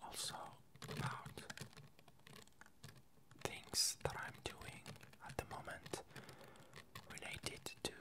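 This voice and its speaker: A woman giving a casual ASMR update in a whisper, speaking in halting short phrases with pauses. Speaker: ASMR video creator and host. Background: ice cubes clinking.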